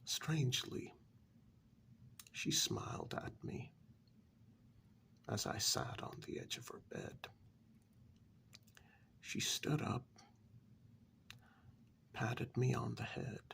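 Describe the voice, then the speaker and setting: A man in a soft-spoken ASMR story role-play, narrating a horror tale in a breathy, gravelly half-whisper as an asylum director, pausing between short phrases. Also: mid-range voice, very animated, a little hiss or hum. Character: asylum director calmly recounting eerie past events. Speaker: narrator telling a horror tale in first person.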